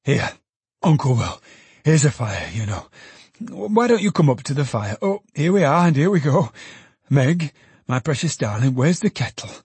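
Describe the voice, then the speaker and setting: A man voicing an old male ticket porter who talks quickly and breathlessly, fussing and chattering. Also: medium pitch, very animated, darkish tone, breathy edge, dry recording, voice pushed up, heavily acted. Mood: fussy.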